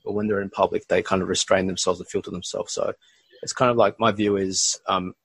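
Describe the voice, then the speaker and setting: Podcast co-host: a young man, gravelly and reflective. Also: low voice, level tone, fairly quick, dry recording. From Bangladesh, raised in Australia. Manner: reflective, lots of "like" and "kind of". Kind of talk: casual chat.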